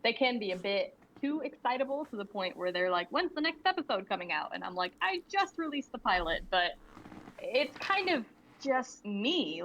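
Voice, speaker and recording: A woman's voice: medium pitch, fairly bright tone, a little hiss or hum. Young woman, candid, slightly muffled recording. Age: young adult. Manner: candid, rambling, laughs easily. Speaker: guest, indie animator and creator. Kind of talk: casual interview answers. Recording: slightly muffled lo-fi audio with faint background ambience.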